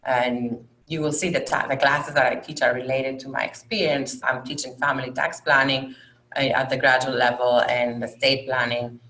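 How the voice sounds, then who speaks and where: Greek-accented woman giving a halting presentation. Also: a bass voice, flat delivery, a bit bright, rough recording. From Greece. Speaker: university lecturer and program coordinator. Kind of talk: informational presentation.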